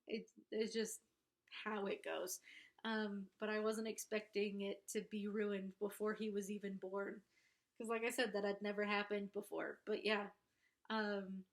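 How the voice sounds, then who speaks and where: A young adult woman with a congested nose talks casually and candidly to camera as a vlogger, in a halting, self-conscious way. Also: medium pitch. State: congested, pregnant. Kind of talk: casual confessional talk to camera.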